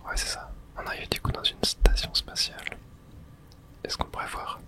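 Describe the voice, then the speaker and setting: Someone whispering in a hushed, unvoiced way through an ASMR video game playthrough, reading dialogue aloud. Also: normal speed.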